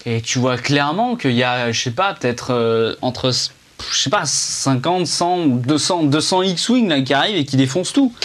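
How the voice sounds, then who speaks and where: A man's voice: medium pitch, lively intonation, normal speed, fairly bright tone. A young man giving a casual, rambling film review, chatty and full of fillers and restarts, speaking indoors to a camera under a ring light.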